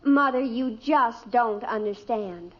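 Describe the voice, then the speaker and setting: An American actress playing a sulky, self-centred teenage daughter in an old-time radio family comedy, complaining in a whiny, melodramatic way. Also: female voice, on the high side, slow pace. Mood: whiny protest. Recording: dull vintage radio recording.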